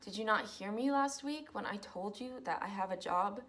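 A woman's voice: high voice, very animated, a little slow, pushed and bright, heavily acted. A young actress performing an emotional monologue as a hurt young woman lashing out at the people she lives with. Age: young adult. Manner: emotional, accusing, pleading. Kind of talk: dramatic acting monologue.

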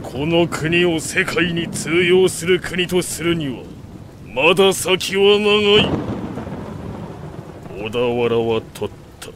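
An actor playing a proud, iron-willed warlord in an audio drama, speaking in solemn, imperious, archaic grand speech. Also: male voice, fairly high pitch, slow, background noise. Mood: solemn, resolute. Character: proud, iron-willed male warlord bent on remaking the nation. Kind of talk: Japanese audio drama acting.

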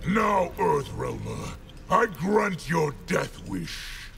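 Big mean warlord general, gravelly and slow, arrogant booming threats, video game. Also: male voice, sing-song, a bit bright, faint music behind, heavily acted. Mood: menacing. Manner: menacing, imperious. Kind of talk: video game fight dialogue.

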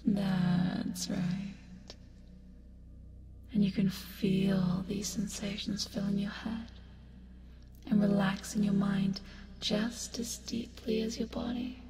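A woman's voice: mid-range voice, little pitch movement, music in the background. A woman playing an eerie yet soothing sleep paralysis demon, whispering breathily and coaxingly in an ASMR hypnosis roleplay. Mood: soothing, possessive. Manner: breathy whispering, slow, coaxing, drawn-out words.

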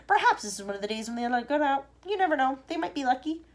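A woman voicing a blithe, eccentric male factory owner in a storybook reading, calm and matter-of-fact. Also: high-pitched, very fast, pitched above the performer's own voice. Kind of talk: storybook character voice in a read-aloud.

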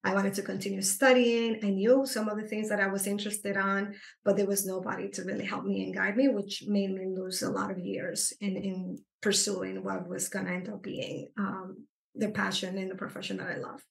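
Gravelly woman in an echoey room. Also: mid-range voice, moderate pace. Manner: earnest, explanatory, animated when stressing points. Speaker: psychotherapist guest. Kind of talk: conversational interview.

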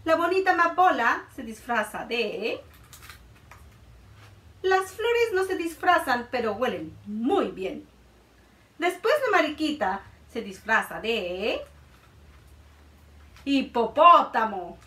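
A woman in her thirties reading a picture book aloud to children in a playful, expressive way, in a small room. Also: sits high, slow pace, long pauses, reverberant, far from the mic. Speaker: children's librarian. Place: small room indoors, before a board.

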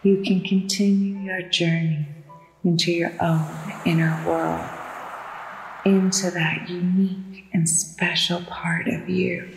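A woman narrating a guided sleep meditation in a soothing, hushed and deliberate manner. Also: on the low side, little pitch movement, vocal fry. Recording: echoey long reverb with music behind. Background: relaxation music with ocean waves.

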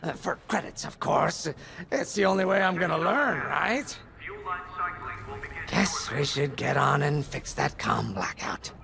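Gravelly roguish alien pilot. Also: male voice, on the high side, lively intonation, unhurried, pushed and bright, heavily acted. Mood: wheedling. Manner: sly, folksy, wheedling. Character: roguish reptilian alien pilot, smooth-talking ex-thief. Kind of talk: video game character dialogue. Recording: music behind the voice.

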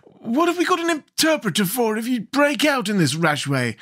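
A male reader puts on a fussy scarecrow king for a storybook, pitched very high and swinging widely up and down. He is stately, wordy and admonishing, a pompous ruler who is easily bewildered. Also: wildly swooping pitch, normal speed, a bit bright, full character voice. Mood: severe. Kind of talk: storybook character voice.

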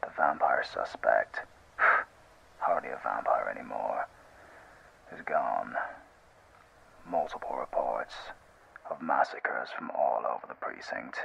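A man acting a weary, sombre official dictating a grave log in a slow, gravelly whisper on a muffled recording. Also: extremely low pitch, exaggerated voice. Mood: grieving, weary. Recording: muffled old radio-style log recording.

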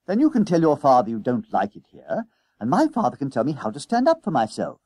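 A man voicing an eager, bossy schoolboy in a storybook, excitable and insistent, full of schoolboy slang. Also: medium pitch, a little fast, slightly rough, no room sound, pitched above the performer's own voice. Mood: excited scheming. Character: eager, bold, bossy schoolboy hatching schemes.

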